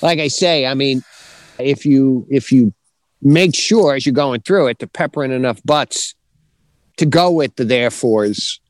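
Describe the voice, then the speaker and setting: A man's voice: medium pitch, measured pace, dry recording. A man co-hosting a show about writing in a casual, conversational way.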